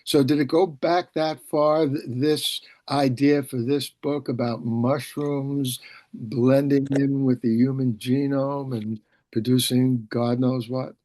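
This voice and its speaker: Halting male interviewer, dry close sound. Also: medium pitch, steady tone, dark tone, dry recording. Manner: halting, discursive, thinking aloud. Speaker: host and publisher. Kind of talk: video call author interview. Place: indoors on a video call. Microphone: video call microphone.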